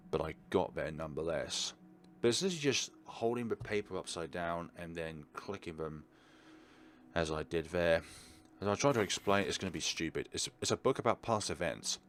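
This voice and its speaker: A man's voice: low-pitched, animated, normal speed, fairly bright tone, slight background noise. A man hosting a let's play, reading game text aloud dramatically and adding casual asides. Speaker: gaming video host.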